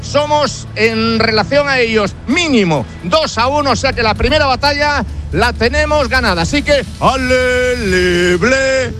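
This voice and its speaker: A man with a Spanish accent reporting on football as a roving radio reporter, excitable, jokey and colloquial. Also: very high-pitched, a little slow. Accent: Spanish (Spain). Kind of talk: live interview and banter with football fans. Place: outdoors outside a stadium, live broadcast.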